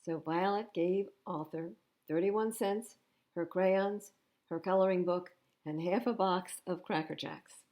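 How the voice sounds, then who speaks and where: A woman's voice: lowish voice, measured pace, a little mellow. A woman reading a storybook aloud to children as a storytime host, with chatty asides. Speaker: library storytime reader.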